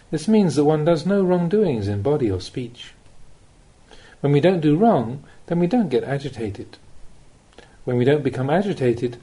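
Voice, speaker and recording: A man reading a spiritual teaching aloud as an audiobook, with measured, deliberate phrasing and pauses. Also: medium pitch, dark tone, slightly rough. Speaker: Buddhist monk reading recorded teachings. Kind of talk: audiobook reading of a Dhamma talk.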